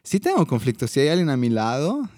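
A man's voice: medium pitch, fairly quick, no room sound. Chatty man, dry studio sound. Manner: animated, laughing, chatty. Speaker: podcast host and coach. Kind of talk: casual podcast chat.

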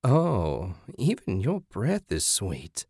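A man voicing a menacing, sanctimonious, depraved woman in an audiobook, with a raspy, taunting delivery. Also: medium pitch, wildly swooping pitch, no echo, clean studio sound, pitched above the performer's own voice, full character voice. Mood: leering. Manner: taunting, sinister, drawn-out threats. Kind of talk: dramatic audiobook character voice.

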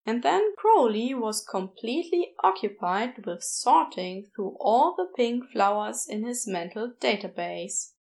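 A woman's voice: fairly high pitch, expressive, unhurried, gravelly, few pauses, darkish tone. A woman narrating a scripted story as an audiobook-style reader.

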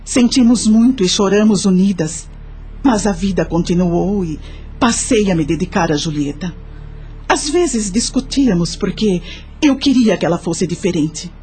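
An actress playing a grateful, remorseful widowed mother, narrating her life in a confiding, measured way for a radio drama. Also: medium pitch, lively intonation, warm tone, slightly rough, soft background music. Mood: sorrowful, confessional.

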